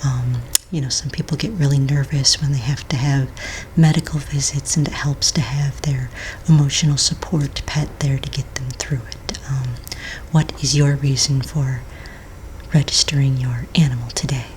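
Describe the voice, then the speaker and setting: A woman playing a patient, polite office manager in a soft-spoken ASMR roleplay, breathy and half-whispered, asking gentle official questions with small hesitations. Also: a bass voice, fairly even intonation, moderate pace, rough recording. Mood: gentle, explanatory. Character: patient, polite female office manager registering fantasy pets. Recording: close mic, faint noise, keyboard clicks. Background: computer keyboard typing and clicks.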